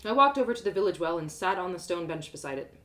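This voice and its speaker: A woman reading a fantasy story aloud in an expressive, first-person narrating style. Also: on the low side, fast talker.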